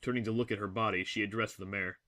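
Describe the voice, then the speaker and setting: A man's voice: fairly low pitch, fairly even intonation, quick pace, pushed and bright. A man reading scripted, dramatic story narration as the narrator of an audio drama.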